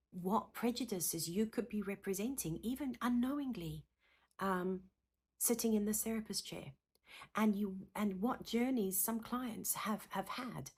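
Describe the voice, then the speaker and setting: A woman's voice: mid-range voice, unhurried, a little mellow, husky. A woman in her thirties with a South African accent, a psychotherapist giving an earnest, passionate teaching talk to camera and repeating words for emphasis. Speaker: counsellor and psychotherapist.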